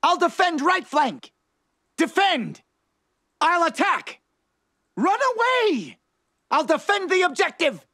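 A mischievous, excitable little fox inventor from a video game. The voice swoops widely in pitch and is recorded close and dry. Each short line is exclaimed theatrically, with drawn-out, unhurried delivery. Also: male voice, no echo, heavily acted. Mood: theatrical alarm. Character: manic little fox mad scientist, male, mischievous and excitable. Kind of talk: video game character voice lines.

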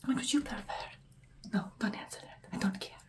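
A woman playing an imperious, hungry, centuries-old vampire bride in a whispered, ASMR roleplay, close to the microphone. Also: close to an everyday voice. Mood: musing, dismissive. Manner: breathy whispering, drawn-out theatrical delivery.

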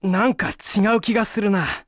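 An actor playing a brash, blunt young male ninja in a video game, with rough, slangy delivery. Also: high voice, normal speed, no echo. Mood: doubtful, irritated.

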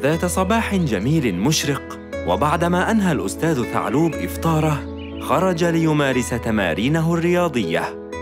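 A narrator telling a children's bedtime cartoon story in a calm, measured way. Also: medium pitch, music in the background.

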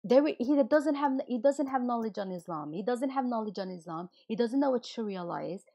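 A hoarse woman who is losing her voice hosts a livestream and rants indignantly, firing rhetorical questions at her viewers. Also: on the high side, animated, fairly quick, mellow, muffled recording. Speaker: livestream host. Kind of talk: heated casual livestream rant.

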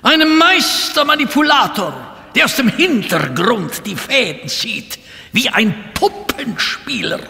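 In a reverberant hall, an agitated police inspector declaims like a stage performer. His voice is extremely high for a man and swings widely, with dramatic delivery for a radio play. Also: lively intonation, measured pace, vocal fry, sharp tone, exaggerated voice. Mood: dramatic, alarmed.

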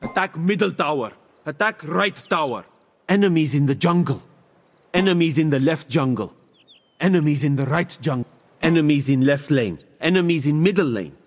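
A mystic seer god from a video game, grand and serene with a smug wisdom. He speaks formally and theatrically in measured phrases, and his voice rings out with a long reverb. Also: fairly high pitch, animated. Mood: composed, tactical. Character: mystic male seer deity, serene, grandiose, smugly wise. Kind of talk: video game character voice lines.

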